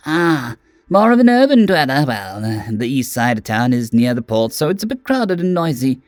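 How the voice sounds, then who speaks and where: A man's voice: sing-song, moderate pace, no room sound, clean studio sound, natural voice. A man voicing a small, timid alien creature who talks eagerly and nervously in a raised voice. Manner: nervous, fawning, high-pitched.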